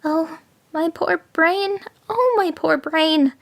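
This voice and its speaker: A woman voicing a cunning male jackal who pretends to be slow-witted, with fluttery, flustered pleading. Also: very high-pitched, voice pushed up, exaggerated voice. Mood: feigned confusion.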